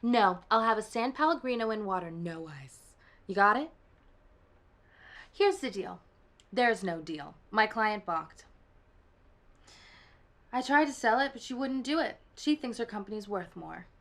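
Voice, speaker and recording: Brisk, self-assured businesswoman. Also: mid-range voice, lively intonation, fairly bright tone. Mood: brisk, decisive.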